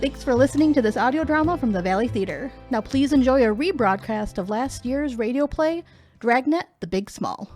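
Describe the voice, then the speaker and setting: A woman's voice: fairly high pitch, lively intonation, moderate pace, a little mellow, soft background music. A woman announcer reading a scripted introduction for a radio drama.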